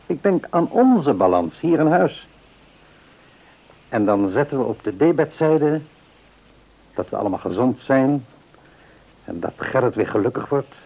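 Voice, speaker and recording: An actor playing a devout, reassuring middle-aged father in a radio play, speaking in a measured, earnest, counselling way. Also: mid-range voice, big pitch swings, dark tone, poor audio. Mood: content, reflective. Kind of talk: mid-century radio play acting.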